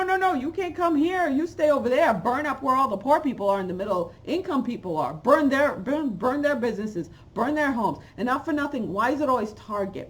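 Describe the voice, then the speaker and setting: A fired-up woman rants into a close webcam at home. Her voice sits fairly high, jumping from loud outbursts down to low, muttered asides. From Haiti, raised in New York. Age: 40s. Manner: animated, swings from loud exclamations to low asides. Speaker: channel host. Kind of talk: opinionated vlog rant. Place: home room with bookshelves, indoors. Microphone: webcam at close range.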